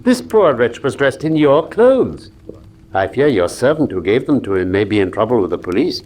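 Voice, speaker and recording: A shrewd gentleman detective in a radio drama. His mid-pitched voice swings expressively as he talks with dry irony and easy command, every phrase articulate. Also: sing-song, moderate pace. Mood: dryly teasing. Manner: dryly ironic, articulate.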